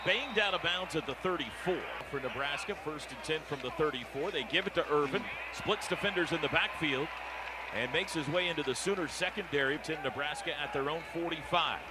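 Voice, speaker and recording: A man with an American accent calling football play-by-play live on the radio in clipped running descriptions. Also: fairly high pitch. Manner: clipped running descriptions, building to shouts on big plays. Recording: radio broadcast over loud stadium noise.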